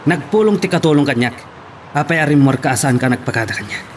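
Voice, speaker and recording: A man's voice: on the high side, lively intonation, quick pace, lightly acted. A man acting an angry, threatening, controlling lover who fires demanding questions in an Ilocano radio drama. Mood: accusing anger.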